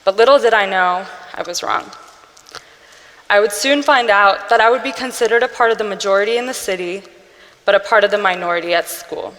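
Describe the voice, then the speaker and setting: A woman's voice: mid-range voice, a bit bright. Young woman telling her story in a reverberant room. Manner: earnest, measured delivery with pauses between phrases. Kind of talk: personal storytelling speech. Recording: long reverb from a hall-like room.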